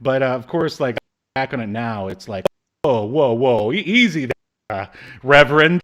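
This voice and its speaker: A man's voice: mid-range voice, lively intonation, moderate pace, a bit bright. A man in his thirties with a slight cold, chatting casually and jokingly as a podcast co-host reviewing pop culture. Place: home room with shelves, video call.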